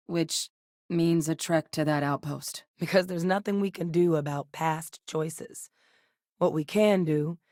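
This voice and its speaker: A woman's voice: lowish voice, no echo, studio quality, natural voice. An actress voicing a capable female scientist companion in a game, earnest and matter-of-fact. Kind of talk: video game voice lines.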